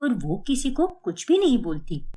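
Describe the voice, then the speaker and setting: A woman's voice: high-pitched, very animated, normal speed, mellow. A woman narrating a scripted family story in a dramatic storytelling style.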